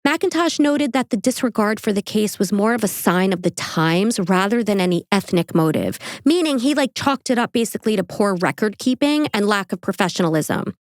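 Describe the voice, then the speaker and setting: A woman's voice: medium pitch, expressive, moderate pace, hardly any pauses, no echo, clean studio sound. A woman with a New York accent hosting a true crime podcast, reading the case and breaking off for casual, joking asides.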